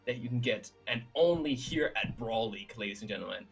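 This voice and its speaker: A man commentating an esports tournament on a livestream in an emphatic, hype-building way, his voice a little raspy. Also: medium pitch, lively intonation, fairly quick, over music, rough recording. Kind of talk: excited live game commentary and post-match talk.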